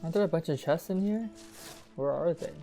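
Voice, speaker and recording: A young man giving casual, excitable commentary while playing a video game. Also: on the high side, a little fast. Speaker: gaming youtuber. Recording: game music behind.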